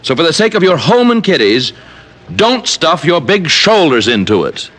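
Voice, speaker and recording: An actor playing a haughty, overwrought wealthy client who talks with pompous, precise sarcasm in old radio drama style. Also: male voice, a little high, big pitch swings, moderate pace, over loud music. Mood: fussy, insistent. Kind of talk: 1940s-50s radio drama acting.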